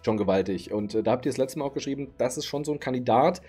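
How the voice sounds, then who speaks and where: A man's voice: mid-range voice, fast talker, mellow, slightly rough, faint music behind. A man in his thirties hosting a casual card market video from his home streaming room, chatty with lots of fillers, his voice tired late at night. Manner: chatty, frequent fillers, easygoing. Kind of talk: casual market commentary to camera.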